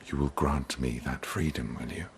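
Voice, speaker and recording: An actor playing a haughty, suspicious high-ranking court lord in a radio drama, speaking in an imperious, formal way. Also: male voice, fairly even intonation, moderate pace, vocal fry, slight background noise.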